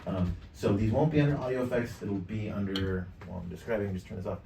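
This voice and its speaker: A middle-aged man giving a casual software walkthrough as a tutorial presenter, recorded in a home studio with the mic set back from him. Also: lowish voice, fast, creaky. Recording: roomy, distant mic, faint noise.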